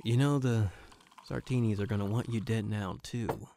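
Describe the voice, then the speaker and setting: A man's voice: fairly low pitch, moderate pace, vocal fry, dark tone, slight background noise. A man playing an earnest private detective who narrates his own case in a noir-parody audio drama. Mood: shocked, subdued.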